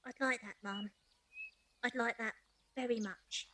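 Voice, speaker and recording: A woman's voice: fairly high pitch, fairly even intonation, measured pace, bright, forceful tone, a little hiss or hum. A woman playing a young working-class match girl and maid, cheeky yet unsure of herself, in an audio drama. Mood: shy, cheeky. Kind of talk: audio drama acting and narration.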